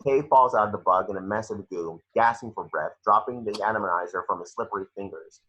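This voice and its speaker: A man's voice: on the low side, a little fast, bright, forceful tone, husky. A man reading scripted action descriptions as the narrator of an amateur table read. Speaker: stage direction narrator. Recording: dull lo-fi home recording.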